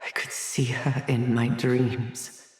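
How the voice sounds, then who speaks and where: A man's voice: medium pitch, level tone, takes it slowly, echoey room, natural voice. A man playing a haughty, haunted asylum doctor in a video game, theatrical and erudite, fretful and hesitant, obsessed with his art. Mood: haunted, brooding. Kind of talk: dramatic video game dialogue.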